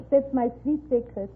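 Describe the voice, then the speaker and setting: High, lilting German woman on old radio. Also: slight background noise. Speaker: quiz show contestant. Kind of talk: playful unscripted chat. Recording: tinny old radio broadcast before audience.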